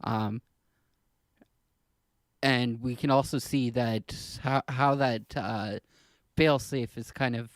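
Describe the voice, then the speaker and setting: A man co-hosting a podcast in casual discussion, speaking hesitantly in halting, trailing clauses. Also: medium pitch, fairly even intonation, dry recording. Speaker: podcast co-host.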